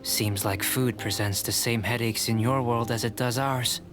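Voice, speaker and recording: A man voicing a calm, courteous alchemist researcher in a video game, speaking in a measured, formal, articulate way. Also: mid-range voice, over music, lightly acted.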